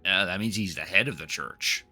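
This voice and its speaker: A man's voice: low-pitched, moderate pace, over music. A man casually commenting on a video game as he streams it, sometimes reading its dialogue out.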